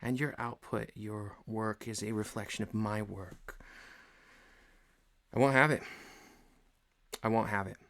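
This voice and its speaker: Guy doing a stern pompous boss for asmr roleplay, husky n crackly, formal, takes his sweet time. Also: lowish voice, steady tone, fairly bright tone. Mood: stern, solemn. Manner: formal, deliberate, theatrical pauses. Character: stern, pompous male office boss, mock-solemn, paternal. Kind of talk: scripted ASMR roleplay monologue.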